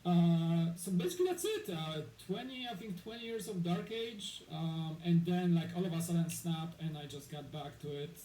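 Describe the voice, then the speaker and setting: A man's voice: fairly high pitch, animated, normal speed, few pauses, warm tone. A man in his thirties from Poland, a guest in a casual interview, rambling with many fillers and restarts. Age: 30s. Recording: echoey room with faint noise.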